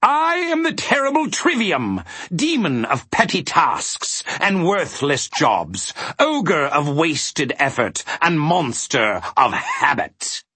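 A man voicing a villainous, gloating demon of petty tasks in a storybook, with theatrical, drawn-out delivery. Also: on the high side, big pitch swings, bright, forceful tone, breathy edge, dry recording, pitched above the performer's own voice, heavily acted. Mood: triumphant shrieking. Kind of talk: storybook character voice.

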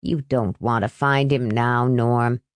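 A woman voicing an easygoing, kindly popcorn seller in an audiobook, a man with a slow, consoling manner. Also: rumbling low, fairly even intonation, no room sound, voice pushed down. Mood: kindly, resigned.

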